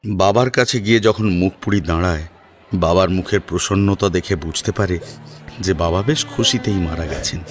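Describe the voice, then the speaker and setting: A man's voice: sits low, normal speed, soft background music. A man narrating a dramatic audio story in an emotive, theatrical way.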